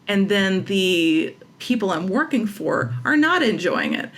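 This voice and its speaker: A woman's voice: medium pitch, sing-song, unhurried, a bit bright. A woman chatting casually as an interview guest, in a giggly, self-deprecating way. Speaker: guest playwright and audio dramatist.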